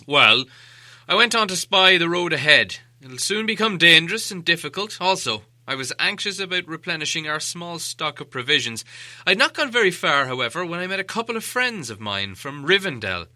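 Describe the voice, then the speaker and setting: Man acting a forceful, testy old wizard. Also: fairly high pitch, very animated, moderate pace, dry recording, voice pushed up, exaggerated voice. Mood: explaining, stern. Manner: emphatic, impatient, lecturing. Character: commanding old wizard, brisk and testy storyteller. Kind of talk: acted audiobook dialogue.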